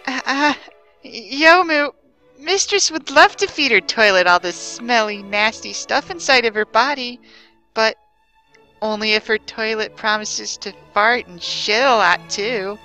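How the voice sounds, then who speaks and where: A man voicing a doting, indulgent noble lady with a slow, syrupy delivery for a dramatic story reading. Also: high voice, bright, forceful tone, faint music behind, pitched above the performer's own voice, heavily acted, low-quality recording. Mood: coy, indulgent. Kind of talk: dramatic reading of fan fiction.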